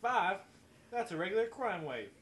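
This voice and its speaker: Man as a bossy small-town newspaperwoman, slightly high. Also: sing-song, normal speed, fairly bright tone. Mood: wry. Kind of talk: comic amateur radio play reading.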